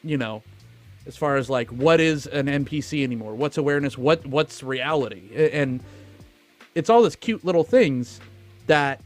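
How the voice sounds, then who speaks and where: A man co-hosting a podcast, talking casually about film in animated, stop-start phrases with some swearing. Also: a little high, normal speed, soft background music. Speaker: podcast co-host.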